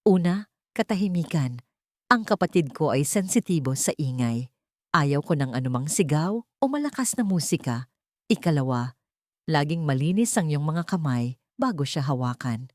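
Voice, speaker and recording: A woman narrator plays a cold, wealthy male sculptor, handing down stern orders in measured phrases. It is audiobook dialogue in a lowish register, recorded dry. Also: expressive, a little mellow, dry recording.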